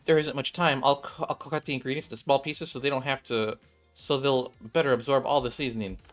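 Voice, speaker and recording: A man's voice: medium pitch, fairly even intonation, a little fast, fairly bright tone, faint music behind, natural voice. A man voicing a confident, haughty young female maid cook with a matter-of-fact, dismissive manner in a game story. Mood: focused.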